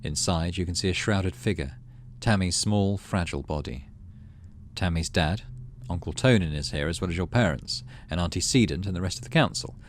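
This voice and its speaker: A man hosting a gaming video, reading story text aloud and adding low-key, muttered asides in casual commentary. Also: normal speed, a little hiss or hum. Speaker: gaming channel host.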